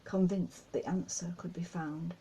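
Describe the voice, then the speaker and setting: Slow, husky woman reading poetry. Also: fairly low pitch, fairly even intonation, dark tone. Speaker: poetry reader. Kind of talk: reading a poem aloud.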